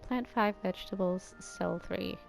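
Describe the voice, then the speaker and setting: Chatty Danish woman with vocal fry. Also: medium pitch, a little slow, soft background music, low-quality recording. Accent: Americanized English. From Denmark. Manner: chatty, rambling, Valley Girl YouTube tone. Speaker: gaming YouTuber. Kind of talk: casual gameplay commentary.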